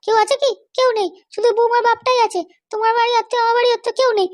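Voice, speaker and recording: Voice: very high-pitched, fairly even intonation, very fast. A performer voicing a bossy, suspicious, scheming Bengali wife and mother in a comedy cartoon, with a childlike voice and a nagging, commanding manner. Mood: impatient, scheming. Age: middle-aged. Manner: nagging, commanding, keeps demanding to be understood. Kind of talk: animated comedy cartoon dialogue.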